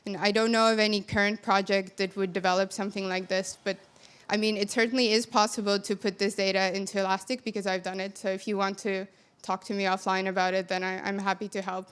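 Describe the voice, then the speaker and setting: A woman's voice: mid-range voice, little pitch movement, quick pace, fairly bright tone, clear, resonant tone. A young woman from Finland answering audience questions after her conference talk, speaking into a handheld microphone in a large hall.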